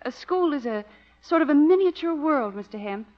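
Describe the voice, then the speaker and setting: An earnest schoolteacher, composed and polite, gently admonishing in a fairly high voice. It is old radio comedy with a muffled sound. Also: female voice, lively intonation, moderate pace. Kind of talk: 1950s radio comedy acting. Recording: dull old 1950s radio recording.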